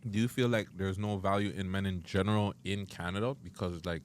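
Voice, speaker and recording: A man's voice: sits low, steady tone, fairly quick, slight background noise. A man in his late thirties co-hosting a casual podcast, laid-back and joking. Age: 30s. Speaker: podcast host.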